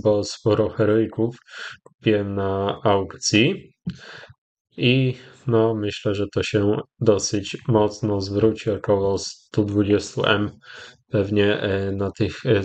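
A Polish man hosting a gaming channel, giving casual, rambling commentary with hesitant, drawn-out pauses. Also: on the low side, darkish tone. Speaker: YouTube gaming host. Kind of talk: casual commentary over gameplay.

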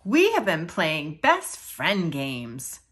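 A woman voicing a cheerful, excitable girl pig in a picture-book story, with a bubbly, gushing manner. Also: deep voice, slow pace, husky, voice pushed down, heavily acted. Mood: excited.

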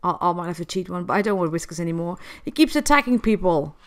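A woman's voice: fairly low pitch, lively intonation, fast. A woman with a cold, chatting through a life-sim game and talking to the characters. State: has a cold. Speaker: gameplay host. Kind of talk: casual gameplay commentary.

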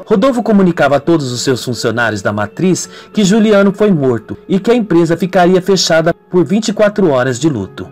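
A man's voice: on the high side, big pitch swings, a little fast, few pauses, a little mellow. A man narrating a scripted radio soap opera as a dramatic storyteller. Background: music.

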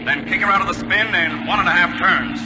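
An actor playing a commanding army flying instructor in a 1940s radio drama. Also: male voice, high voice, fairly quick, heavy background noise, lightly acted. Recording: dull 1940s radio broadcast, loud engine sound.

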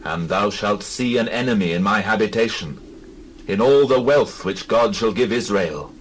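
Actor as low-voiced stern prophet. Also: male voice, animated, normal speed, a little hiss or hum, close to an everyday voice, rough recording. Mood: solemn judgment. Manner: solemn, declamatory, accusing. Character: stern holy prophet delivering God's judgment. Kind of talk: dramatized Bible reading.

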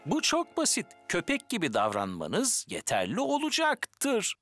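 A man's voice: high-pitched, huge pitch swings, a little slow, fairly bright tone, soft background music, full character voice. An actor playing a cocky, goofy fireman who fancies himself a dog expert in a cartoon dub, with a boastful, exaggerated manner. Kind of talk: animated cartoon dub acting.

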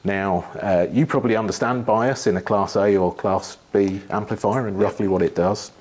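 A middle-aged man with a husky voice, giving a technical explanation in an interview, pausing thoughtfully as he phrases things. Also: lowish voice, steady tone, a little mellow. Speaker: loudspeaker engineer being interviewed. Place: living room with wood panelling and large window.

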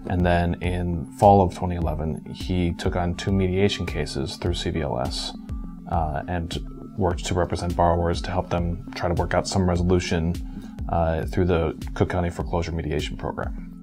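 A young attorney speaks in a deep, matter-of-fact voice in an office, dropping small "uh" fillers. Music plays clearly behind him. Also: male voice, steady tone, moderate pace. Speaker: staff attorney. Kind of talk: interview to camera. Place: indoor office.

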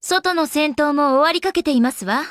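A woman's voice: high voice, moderate pace, fairly bright tone, dry recording, clean studio sound. A woman voicing an elegant young goddess in a video game, with polite, ladylike, composed speech. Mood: composed, serious. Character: elegant young goddess, refined lady and avid gamer. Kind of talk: Japanese video game character voice acting.

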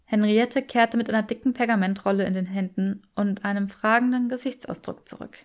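A woman's voice: mid-range voice, fairly even intonation, brisk. A woman reading scripted story narration as an audiobook narrator.

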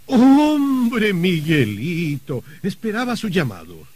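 Husky, gleefully menacing uncle. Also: male voice, high voice, sing-song, a little mellow, full character voice. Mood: flustered. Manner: theatrical, halting, sardonic. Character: gleeful, mocking, menacing uncle, a murdered novelist host. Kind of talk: melodramatic radio drama acting.

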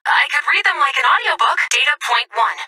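A quirky game AI, high-pitched and piercingly bright. She is perky yet blunt, flipping from deadpan to adoring, a little off-mic with faint music behind her. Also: brisk, far from the mic, full character voice, low-quality recording. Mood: eager, demanding. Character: perky, blunt, lovestruck female AI device. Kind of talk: video game character dialogue.

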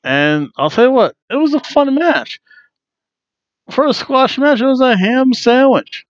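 A man's voice: very high-pitched, animated, a little slow, dry recording, muffled recording. A man in his forties hosting a casual wrestling review from a room at home on a headset mic, chatty and jokey.

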